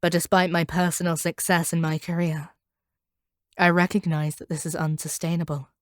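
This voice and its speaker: Woman acting as a haughty, low-voiced female monster hunter. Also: level tone, dry recording, clean studio sound, close to an everyday voice. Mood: grave, disdainful. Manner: haughty, stern, deliberate. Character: proud, ruthless female monster hunter, cold and commanding. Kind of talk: scripted audio roleplay acting.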